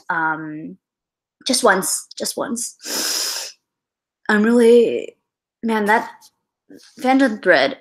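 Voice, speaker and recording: A woman's voice: mid-range voice, a bit bright. A young woman hosting a casual film livestream, chatty and gushing, with lots of fillers and sighs.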